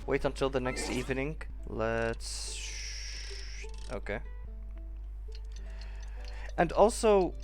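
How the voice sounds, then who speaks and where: A man streaming a game, thinking aloud in casual, joking playthrough commentary. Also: medium pitch.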